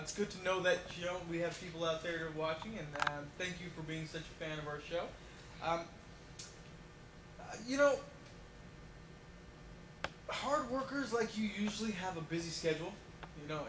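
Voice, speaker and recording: A man hosting a mock talk show interview, rambling and leaning on fillers like "you know". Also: on the high side. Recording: echoey room with loud noise.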